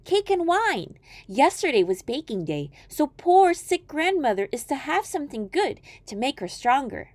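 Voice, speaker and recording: Innocent storybook child, played by a woman: girlish high pitch, earnest, well-mannered, trusting, animated melody. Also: moderate pace, hardly any pauses, dry recording. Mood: polite, trusting. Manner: sweet, polite, earnest. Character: polite, innocent, trusting little girl.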